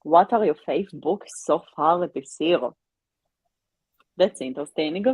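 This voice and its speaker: A woman's voice: low-pitched, takes it slowly, a little mellow, husky, no echo, rough recording. A woman who speaks English as a second language, chatting casually on a book livestream and often searching for her words. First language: not English. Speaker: co-host of a livestreamed reading sprint. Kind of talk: casual unscripted livestream chat about books.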